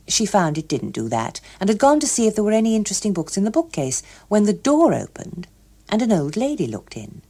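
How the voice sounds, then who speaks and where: A British woman narrating a literary story as an expressive audiobook reader. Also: fairly low pitch, husky.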